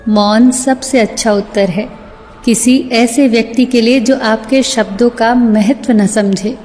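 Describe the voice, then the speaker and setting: A woman narrator reciting scripted motivational sayings in a measured, deliberate way. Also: on the high side, steady tone, darkish tone. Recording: long hall reverb, music bed. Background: music.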